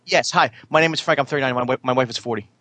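A man in his late thirties co-hosting a casual talk show, halting and repeating himself in self-mocking bits. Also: medium pitch, pushed and bright, no echo. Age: 30s. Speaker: podcast co-host. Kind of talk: casual banter.

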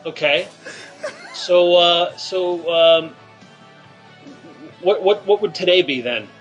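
Guy hosting radio banter, kinda high bright voice, slow deadpan setups, faint music behind. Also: poor audio. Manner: slow, halting setup with many fillers. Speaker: radio show host.

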